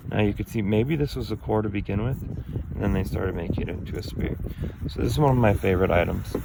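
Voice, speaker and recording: A man's voice: low-pitched, creaky, noisy background. A man who is a little sick and losing his voice, explaining stone artifacts in a hesitant, rambling way full of ums and uhs. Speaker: artifact collector explaining finds. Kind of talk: casual show-and-tell explanation.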